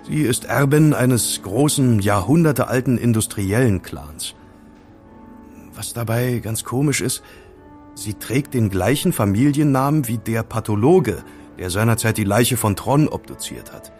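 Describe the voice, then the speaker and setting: An actor playing a casual amateur sleuth who recounts intrigue in a wry, conversational way in an audio drama. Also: male voice, medium pitch, animated, unhurried, darkish tone, faint music behind, natural voice. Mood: earnest, recounting. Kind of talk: German audio drama dialogue.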